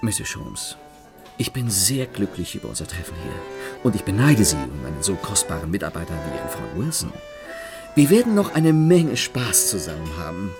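Here is a charming gentleman thief from a radio play. His low voice leaps up and down as he teases with mocking courtesy. Music can be heard clearly behind him. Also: big pitch swings, moderate pace. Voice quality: playful, mocking politeness. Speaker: gentleman thief Arsène Lupin. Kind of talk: radio drama acting.

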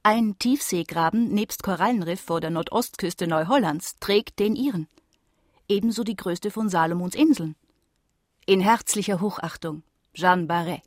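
A woman's voice: lowish voice, normal speed, dry recording, clean studio sound, natural voice. A woman playing a learned French botanist of the eighteenth century, reading her formal, courteous letters in a radio play.

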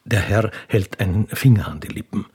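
A man's voice: fairly low pitch, moderate pace, darkish tone, husky. A man narrating a radio play in a scripted, dramatic style.

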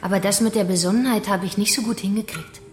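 An actress playing a vengeful kidnapper in a radio crime drama, composed and taunting, speaking deliberately. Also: female voice, mid-range voice, steady tone, natural voice. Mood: bitter, reflective. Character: vengeful female kidnapper, controlled, taunting, bitterly righteous. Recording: echoey room with music behind.

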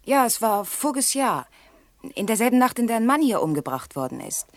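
Lively, artless young country girl. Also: on the high side, brisk, no echo. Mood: artless, confiding. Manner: artless, blunt, slightly defensive. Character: naive, candid Scottish country girl of about nineteen. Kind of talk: German radio play acting.

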